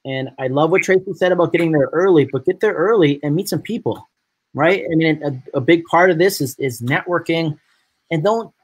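A man from upstate New York hosting a casual live video chat with guests, talking in a chatty, animated way. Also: a little high, a little fast, breathy edge, no echo. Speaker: host.

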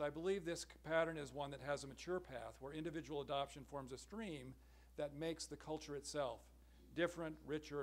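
A middle-aged man giving an academic conference talk from a podium in a large lecture hall, measured and earnest, clearing his throat now and then. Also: mid-range voice. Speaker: symposium speaker. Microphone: podium microphone, far camera.

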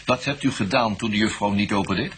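An actor playing a patient, coaxing male police investigator in a radio drama, repeating himself and prompting gently. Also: on the low side, quick pace, natural voice. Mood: patient probing.

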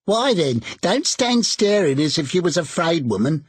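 A man voicing a bold, defiant charwoman with a shrill, scornful manner. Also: sing-song, moderate pace, husky, no echo. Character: bold defiant charwoman, brash older woman.

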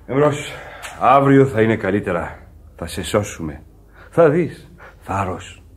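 An actor playing a formal, regretful ship captain in a radio play. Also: male voice, on the low side, animated, slightly rough. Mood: grave.

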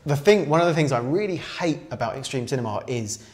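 A man's voice: medium pitch, lively intonation, fast. A man in his thirties co-hosting a casual film discussion, rambling with many restarts. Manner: rambling, restarts often, gestures while talking. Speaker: co-host. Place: small studio with poster wall.

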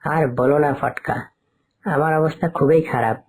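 A woman's voice: sits low, moderate pace, a little mellow, slightly rough. A woman voicing a poor, worried male fox labourer in a cartoon, speaking plaintively. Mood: weary, complaining. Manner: plaintive, pleading. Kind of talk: cartoon dialogue.

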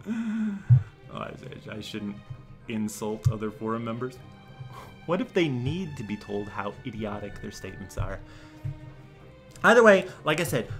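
A young man giving an over-the-top dramatic reading as a smug, defensive forum poster, theatrical and swinging between breathy whispers and shouting. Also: high voice. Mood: sarcastic, smug. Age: young adult. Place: small room at home.

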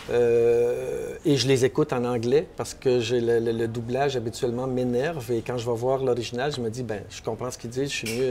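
A man's voice: medium pitch, dark tone, a little hiss or hum. Hesitant Québécois man in a bookstore. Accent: Québécois French. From Quebec. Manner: thoughtful, hesitant with many restarts. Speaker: novelist and columnist guest. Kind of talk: panel discussion. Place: bookstore, indoors.

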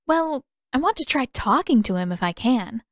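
A stubborn teenage girl, guarded and set on her course, read by a woman narrator. Her voice is fairly high, in a dry audiobook recording. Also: animated, normal speed, dry recording. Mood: thoughtful. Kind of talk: character dialogue in audiobook narration.

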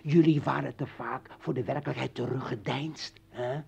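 An actor playing a bitter, militant revolutionary and former journalist in a radio play, ranting and taunting in halting bursts. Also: male voice, medium pitch, fairly even intonation, vocal fry, breathy edge, heavily acted. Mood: bitter, insistent. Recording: dull vintage radio drama recording.

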